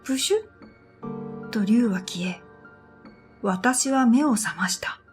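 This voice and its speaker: Higher-pitched woman narrating a radio drama. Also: expressive, takes it slowly. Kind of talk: scripted first-person story narration. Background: music.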